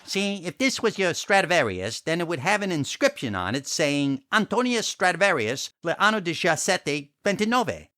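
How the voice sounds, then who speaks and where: A man's voice: sits high, big pitch swings, normal speed, hardly any pauses, sharp tone, full character voice. A man playing a sardonic, fed-up friend who wisecracks in an old-time radio comedy. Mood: wry. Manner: wisecracking, sarcastic.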